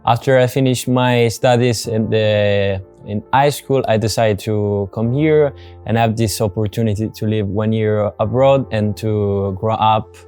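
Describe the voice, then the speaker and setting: Young Italian man, low voice. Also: steady tone, a little slow, a little mellow, clean tone, faint music behind. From Italy. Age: 20s. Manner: earnest, simple phrasing in non-native English. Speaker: volunteer. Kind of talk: interview testimonial.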